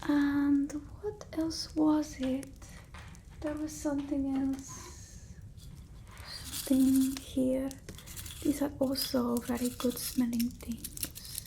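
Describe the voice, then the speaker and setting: A woman playing a bossy, fussy old-world vampire mistress in an ASMR roleplay, whispering slowly and breathily. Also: high voice, flat delivery, creaky, slight background noise, heavily acted, low-quality recording. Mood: soothing, fussy. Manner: slow, hushed, haughty, fussing over the listener.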